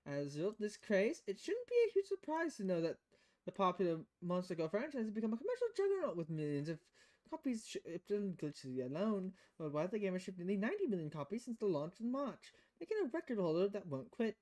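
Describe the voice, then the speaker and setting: A woman's voice: mid-range voice, big pitch swings, quick pace, darkish tone. A woman game streamer reading visual novel text aloud and adding casual asides.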